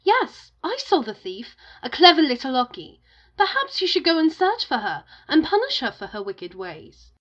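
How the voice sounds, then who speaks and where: A woman's voice: high voice, huge pitch swings, moderate pace, a bit bright, muffled recording, voice pushed up, exaggerated voice. A woman voicing a sly, greedy little female trickster creature in a storybook tale, lilting and wheedling. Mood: sly, feigned helpfulness.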